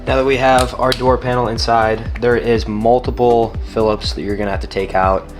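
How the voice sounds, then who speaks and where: A man's voice: mid-range voice, flat delivery, moderate pace, music in the background. A man hosting a DIY car tutorial, giving plain, informal step-by-step instructions in a casual how-to style.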